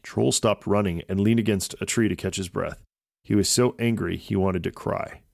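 A man's voice: fairly low pitch, steady tone, moderate pace, gravelly, a little mellow, dry recording, studio quality. A man narrating a scripted children's story as a podcast host.

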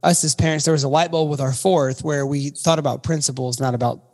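A man co-hosting a podcast, asking questions in a friendly, relaxed way. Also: a little high, fairly quick, big-room sound. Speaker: podcast co-host. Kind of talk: podcast conversation.

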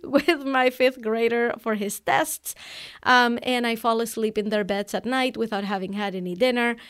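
A woman from Venezuela, a native Spanish speaker, with a Venezuelan accent, hosting her own coaching podcast. Her voice sits a bit high, and she talks in an energetic, chatty way with quick laughs. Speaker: podcast host and online business coach. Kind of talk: solo podcast monologue.

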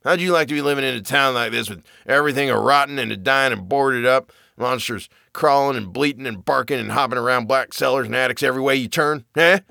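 A man's voice: medium pitch, quick pace, gravelly, hardly any pauses, bright, forceful tone, dry recording, studio quality. A man voicing a wild, terrified old man who raves in a rustic old-timer dialect, in a horror story reading. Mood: raving. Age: older. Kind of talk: audiobook character reading.

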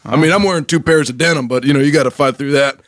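A man's voice: a little high, very fast, fairly bright tone. A man improvising a cocky stranger who stammers as he butts in. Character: brash male stranger in a theater, cocky.

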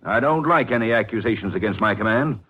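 A man's voice: lowish voice, animated, quick pace, slight background noise, lightly acted. An actor playing an embittered army major in his fifties, stern and defensive, in a 1950s radio drama. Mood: indignant. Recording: muffled old radio recording.

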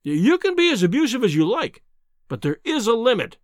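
A man's voice: very high-pitched, big pitch swings, a little fast, no echo, clean studio sound, pitched above the performer's own voice. A man voicing an indignant but nervous male journalist, protesting politely in an audiobook story. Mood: nervous indignation.

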